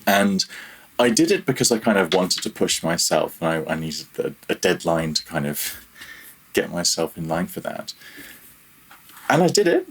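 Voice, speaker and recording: Man, deep husky voice, casual interview talk, reflective, halting, modest and self-deprecating. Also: very animated. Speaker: podcast guest, freelance audio developer. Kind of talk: casual reflective podcast conversation.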